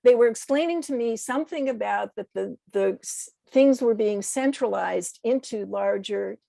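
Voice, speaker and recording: An American woman in a conversational discussion, speaking haltingly as she searches for words and corrects herself. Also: on the high side, gravelly, dark tone, no room sound. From United States. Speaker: podcast guest.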